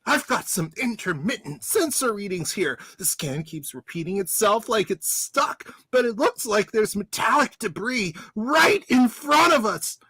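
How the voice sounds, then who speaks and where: A woman playing an excitable starship officer in an amateur radio play, with theatrical exclamations. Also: mid-range voice, a little slow, hardly any pauses, husky, no room sound. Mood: excited. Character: excitable starship officer working the computer consoles.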